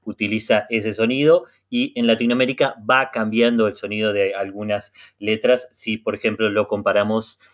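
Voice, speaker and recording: A man from Uruguay with a Uruguayan Spanish accent, leading an online Spanish conversation class, speaking slowly and patiently and repeating words for learners. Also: lowish voice, flat delivery. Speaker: language class facilitator. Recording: muffled web meeting audio.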